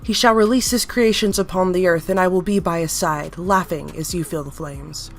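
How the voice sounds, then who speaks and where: A woman's voice: medium pitch, very animated, normal speed. A woman puts on an eerie male creation of a strange god in this horror story reading. He speaks like an odd, childlike thing and then turns fanatical and threatening. Faint music plays beneath. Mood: menacing.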